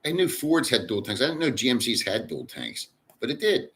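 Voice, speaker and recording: A man's voice: medium pitch, sing-song, a little fast, a bit bright. A man hosting a casual livestream, reading chat aloud and rambling in a crude, profane way.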